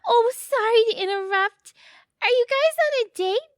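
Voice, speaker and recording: A woman's voice: very high voice, moderate pace, dry recording, clean studio sound. A woman acting a ditzy, bubbly young waitress in a comedic audio play, gushing and naive.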